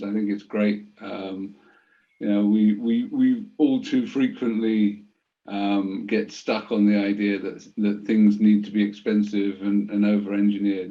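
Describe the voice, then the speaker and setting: Low-voiced male host, hesitant. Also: warm tone. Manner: hesitant, many ums. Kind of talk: interview host. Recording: dull lo-fi call audio.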